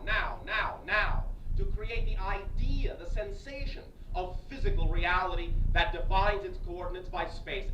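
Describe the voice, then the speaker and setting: A man channeling an alien entity who lectures on metaphysics with emphatic, staccato stresses and deliberate repetition. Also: high-pitched. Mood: emphatic, insistent. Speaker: channeler addressing a live group. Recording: echoey far-off 1980s cassette, faint hiss.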